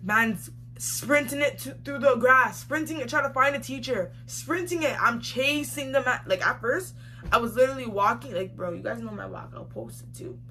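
A woman's voice: on the high side, moderate pace, pushed and bright. Emphatic young woman with noticeable background noise. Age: young adult. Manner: emphatic, slangy, repeats phrases. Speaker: vlogger. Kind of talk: animated casual storytelling to camera. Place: small room indoors, seated facing camera. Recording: clearly noisy home recording.

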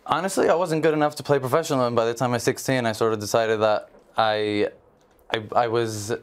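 Smooth-voiced young man explaining on a TV panel. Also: medium pitch, steady tone, a little fast. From Israel. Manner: earnest, filler-heavy explaining. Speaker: football agent, studio guest. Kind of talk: studio panel discussion. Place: large TV studio.

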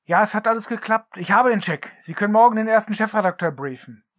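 A woman's voice: medium pitch, animated, fast, close to an everyday voice. Computer woman's voice as a scheming businessman. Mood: commanding. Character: scheming male businessman giving curt orders. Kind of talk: synthetic text-to-speech reading.

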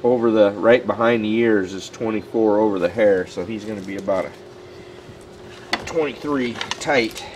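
A man with a somewhat low voice gives a loose, filler-filled walkthrough of his work. He talks outdoors on concrete by a building. A faint hum of outdoor ambience sits behind him. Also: moderate pace, darkish tone, low-quality recording. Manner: plainspoken, filler-heavy, thinking aloud. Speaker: taxidermist. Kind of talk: casual on-camera walkthrough.

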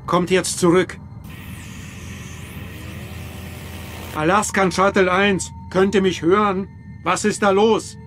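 A sceptical but accommodating ship's lieutenant gives brisk orders in a radio play. His voice sits high for a man. Music runs behind it. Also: sharp tone. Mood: commanding, tense. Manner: clipped questions and orders. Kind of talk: radio drama acting.

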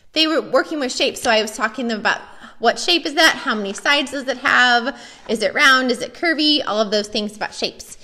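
A woman's voice: sits high, bright, forceful tone. An American woman, a preschool teacher, chatting enthusiastically and casually as she shows classroom activities.

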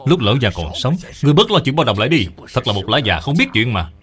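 A man acts an arrogant young master in a cartoon fable. He is selfish and scornful, with pitch that swings about in a lively way. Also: fast. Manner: haughty, scornful.

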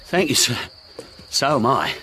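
An actor playing a humble, earnest racehorse jockey in a radio drama, deferential, with rustic, ungrammatical speech. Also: male voice, medium pitch, sing-song, a little slow, noisy background. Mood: hopeful. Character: humble, earnest working-class racehorse jockey devoted to his horse.

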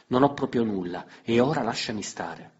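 A man voicing a cold, impatient young woman who curtly rejects her husband, raised above his own low, husky voice. Her lines are short and dismissive. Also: brisk, darkish tone, natural voice. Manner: curt and dismissive. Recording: slightly dull lo-fi recording.

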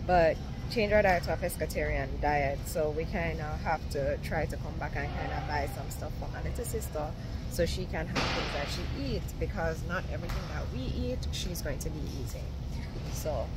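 A woman's voice: deep voice, normal speed, very noisy. Chatty Jamaican woman vlogging errands. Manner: chatty, rambling, explaining as she goes. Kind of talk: casual vlog narration. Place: car, then large warehouse store. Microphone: handheld phone camera at arm's length.

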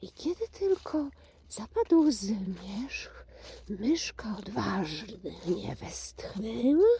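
A woman telling a children's fairy tale expressively, often dropping to a hush and repeating phrases for suspense. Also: medium pitch, very animated, very slow. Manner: hushed, breathy drops, repeats phrases for suspense. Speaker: children's storyteller.

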